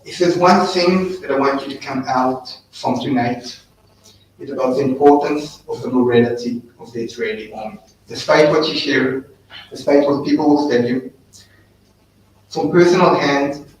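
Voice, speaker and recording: A man's voice: mid-range voice, moderate pace. A South African man in his thirties tells his story to a live audience in earnest, conversational tones. His voice comes from a distance and rings around a very echoey room. There is a faint hiss in the background. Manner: earnest storytelling, animated when quoting others. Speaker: guest speaker. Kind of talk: personal talk to a live audience. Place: event room.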